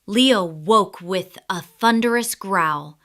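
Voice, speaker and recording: A woman storyteller reading a scripted children's story in an expressive, deliberate way. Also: mid-range voice.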